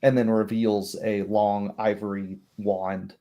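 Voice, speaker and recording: A man narrating a tabletop roleplaying game as game master, describing scenes slowly and in detail. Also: lowish voice, level tone, muffled recording.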